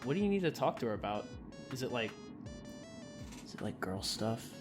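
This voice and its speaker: A woman acts out an eager, boyish young man for an audio drama. The voice is very low for a woman and animated, earnest and slightly hesitant, with music clearly underneath. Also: moderate pace. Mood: casual, sympathetic.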